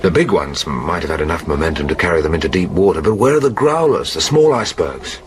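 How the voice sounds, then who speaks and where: Earnest polar scientist, low voice w lots of swing, radio drama, noisy backdrop. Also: male voice, expressive, fast. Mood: puzzled, earnest. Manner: earnest, explanatory. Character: earnest male polar ice scientist, protective, methodical.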